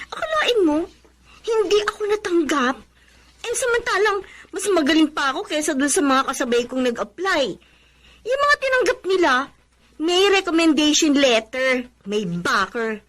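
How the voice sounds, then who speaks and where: High-pitched, sulky young woman. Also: big pitch swings, moderate pace, a bit bright. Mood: envious, annoyed. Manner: whiny, complaining. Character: sulky, proud young woman, jobless college graduate daughter. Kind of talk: radio drama acting.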